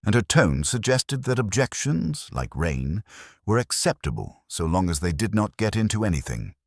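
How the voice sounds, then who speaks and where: Very deep-voiced man narrating, dry and measured. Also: very animated, no echo. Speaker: narrator of a mystery drama. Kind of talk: scripted literary mystery narration.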